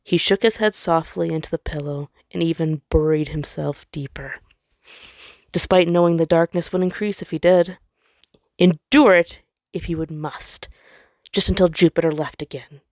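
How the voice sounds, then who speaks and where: A woman's voice: low voice, fairly even intonation, moderate pace, darkish tone, no echo, studio quality. A woman reading a story aloud as dramatic scripted narration. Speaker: fan fiction reader.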